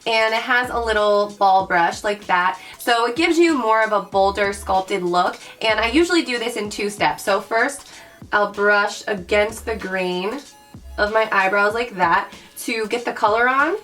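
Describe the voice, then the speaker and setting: A young woman with a raspy voice from recent illness, chatting bubbly through a makeup tutorial and calling her viewers guys. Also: mid-range voice, a little slow. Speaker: beauty vlogger. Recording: mic at a distance, soft background music.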